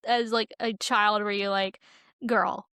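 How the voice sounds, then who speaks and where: A woman's voice: mid-range voice, level tone, takes it slowly, pushed and bright, clean tone, no room sound, studio quality. A young woman co-hosting a podcast, talking casually about pop culture in chatty, slangy, informal speech. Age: young adult. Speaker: podcast co-host.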